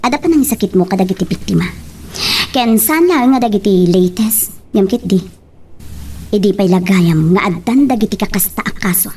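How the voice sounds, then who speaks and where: A woman's voice: medium pitch, lively intonation, normal speed, warm tone, husky. A woman acting an earnest, pressing woman mayor in an Ilocano radio love drama. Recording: somewhat distant mic, faint noise.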